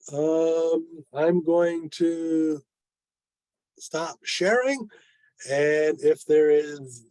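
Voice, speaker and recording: A man's voice: on the high side, darkish tone, no room sound. An older man in his seventies presenting informally to a club on a video call, with a wry, self-deprecating manner. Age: 70s. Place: online video meeting.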